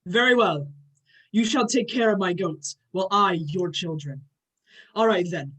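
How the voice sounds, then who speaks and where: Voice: mid-range voice, unhurried, fairly bright tone, slightly rough, poor audio. An amateur actor playing a grand, short-tempered male thunder god with a formal, pompous manner in a myth play reading. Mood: lordly.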